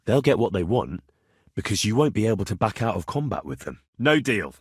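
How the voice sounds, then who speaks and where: Husky, animated young medieval swordsman. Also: lowish voice, a little fast, dry recording, clean studio sound, exaggerated voice. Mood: matter-of-fact. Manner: blunt, haggling, cocky. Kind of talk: video game character dialogue.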